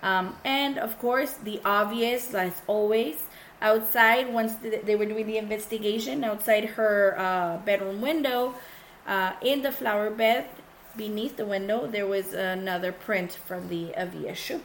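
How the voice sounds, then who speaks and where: Woman podcast co-host, hoarse from illness, bilingual casual storytelling, faint noise. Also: mid-range voice, a little slow. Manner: switches between English and Spanish mid-sentence. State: sick, coarse voice. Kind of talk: casual true-crime chat.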